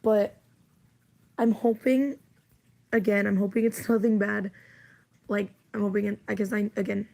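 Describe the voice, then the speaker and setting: A woman streaming live, chatting casually in a rambling, giggly way with lots of fillers, her voice hoarse as she loses it. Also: mid-range voice, level tone, unhurried, pauses often, mellow. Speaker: livestreamer.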